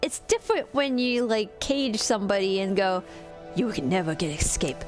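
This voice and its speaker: Woman rambling casually over loud game music. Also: mid-range voice, normal speed. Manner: rambling, teasing, expressive. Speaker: streamer. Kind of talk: casual game livestream commentary. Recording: music behind the voice. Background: game music and character voices.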